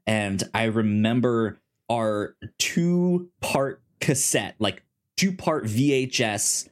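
A man co-hosting a movie podcast in a casual, chatty way, quick to laugh. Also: medium pitch. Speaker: podcast co-host.

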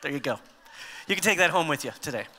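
A man in his fifties preaching a sermon as a pastor, conversational and animated, mixing scripture with humor. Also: fairly high pitch, fast, in a large hall.

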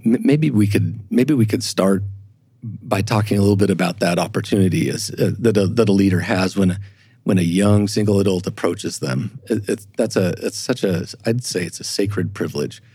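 Husky, mellow man speaking thoughtfully. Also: low-pitched, no echo. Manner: halting, thoughtful, earnest, often repeating words. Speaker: church historian, podcast guest. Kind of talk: reflective conversational explanation.

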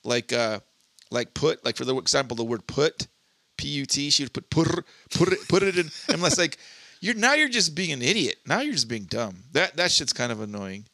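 Podcast co-host from Southern California, male, bright voice, rambly and profane, close dry mic. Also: fairly high pitch, animated, moderate pace, dry recording. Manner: rambling, many restarts and "like" fillers, swears. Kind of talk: casual podcast chat.

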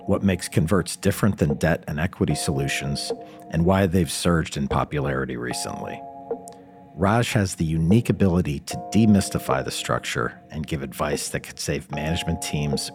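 Male podcast host, very deep voice, halting questions, music bed underneath. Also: a little mellow. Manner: halting and filler-heavy when asking questions. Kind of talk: scripted intro then interview questions.

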